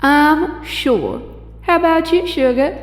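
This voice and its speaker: A high-voiced Southern heroine, echoing. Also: female voice, animated, slow. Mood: reassuring. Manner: folksy, easygoing. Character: breezy, kind young Southern belle superheroine. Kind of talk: audio drama acting. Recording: echoey hall reverb, music underneath.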